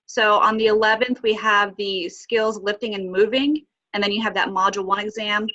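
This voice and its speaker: A woman's voice: mid-range voice, fairly even intonation, normal speed, sharp tone, lo-fi sound. Woman instructor with a sniffly cold. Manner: asks students questions, many fillers. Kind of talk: online class lecture.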